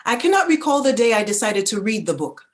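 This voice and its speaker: An actress playing a reflective woman narrator who recalls her childhood in a contemplative, deliberate literary reading. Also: on the high side, very animated.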